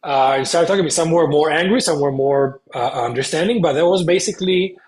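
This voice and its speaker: A man's voice: fairly high pitch, fast talker, darkish tone. Earnest young man from Spain. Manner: earnest, explaining, frequent hesitations. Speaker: guest, content creator. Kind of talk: casual interview talk.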